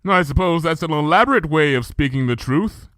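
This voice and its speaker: A man's voice: a little high, animated, fairly quick, fairly bright tone, no room sound, studio quality, pitched above the performer's own voice. A man voicing a regal, archaic-speaking female moon princess pony in a fan fiction reading, with formal thee-and-thou speech. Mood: solemn.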